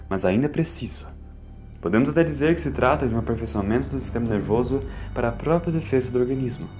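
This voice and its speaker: A Brazilian man narrating a scripted fan audiobook in a solemn, deliberate, dramatic way. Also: medium pitch, darkish tone.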